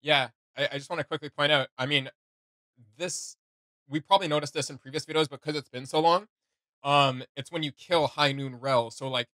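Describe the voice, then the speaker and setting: Young male reaction host, bright, higher-pitched voice, close dry sound, rambling casual chat. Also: a little fast, dry recording. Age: young adult. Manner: excitable, rambling. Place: small room at home, indoors.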